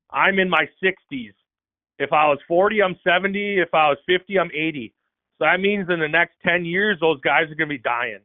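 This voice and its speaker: A man's voice: fairly high pitch, no echo. Man on a tinny phone line. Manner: reasoned, lays out his argument step by step. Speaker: caller. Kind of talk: casual call-in chat.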